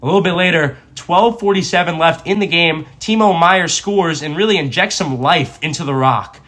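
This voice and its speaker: High-pitched man recapping hockey. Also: moderate pace, pushed and bright. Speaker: sports beat reporter. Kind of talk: scripted game recap narration.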